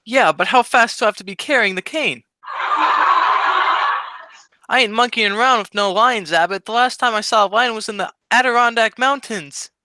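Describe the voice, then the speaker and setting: A man's voice: high voice, moderate pace, pushed and bright. A man playing a childlike, cowardly, wisecracking comic sidekick in a radio comedy sketch, with silly, naive punning. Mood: nervous.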